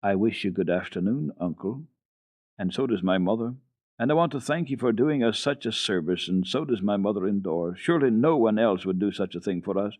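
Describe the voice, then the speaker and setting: A man's voice: fairly low pitch, lively intonation, fairly quick, a little mellow, dry recording, studio quality. A man voicing a humble grown daughter, a polite and deferential peasant woman, in a storybook reading. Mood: grateful, meek. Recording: dull archival radio tape.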